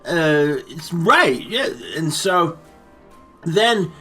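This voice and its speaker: Male comic storyteller: bright, forceful tone, rambling and stammering delivery, constantly drifting into asides. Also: a little high, expressive, drawn-out words, soft background music. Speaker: story-time show host. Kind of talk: comic, improvised movie recap storytelling.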